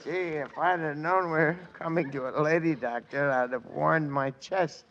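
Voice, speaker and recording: Timid dainty cadet, coy, bright-toned, 1940s radio comedy, faint noise. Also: male voice, fairly high pitch, moderate pace, highs cut off. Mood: chipper. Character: prissy, vain, timid male cadet sidekick.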